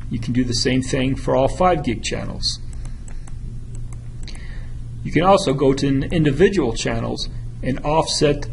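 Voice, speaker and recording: A man giving a methodical, instructional narration of a software tutorial, as a product demonstrator. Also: mid-range voice, measured pace, vocal fry, pauses often, a little hiss or hum, rough recording.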